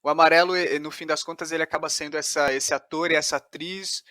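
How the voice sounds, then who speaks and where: A man's voice: on the high side, steady tone, fast, bright, forceful tone, no room sound, studio quality. A man hosting a podcast interview, summarizing the guest's work back to her and asking follow-up questions. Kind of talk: casual interview conversation.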